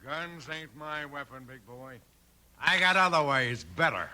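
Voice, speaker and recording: A man's voice: a little high, animated, a little slow, sharp tone, heavily acted. Sly, hard-edged western henchman. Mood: sly, sinister. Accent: American. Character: sly, surly villainous mine henchman. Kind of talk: 1950s radio western acting. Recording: noisy old 1950s radio recording.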